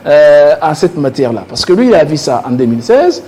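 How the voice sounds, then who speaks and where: A man's voice: fairly high pitch, big pitch swings, brisk, mellow. A man with a Congolese accent giving political commentary as a panelist, repeating words as he searches for phrasing. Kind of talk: political debate commentary in French. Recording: clearly noisy studio recording.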